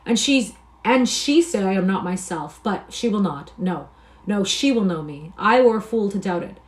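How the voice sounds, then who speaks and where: An eager young soldier back from the wars, read by a woman, pouring out old-fashioned, impassioned speech in a voice of middling pitch. It comes from a storybook reading. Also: animated, moderate pace, natural voice. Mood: elated. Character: eager young male soldier returning home.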